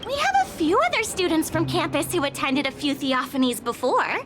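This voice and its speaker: A woman voicing a small, young, excitable game companion, chatty and quick to be suspicious. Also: sits high. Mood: wistful, impressed. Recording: game music underneath.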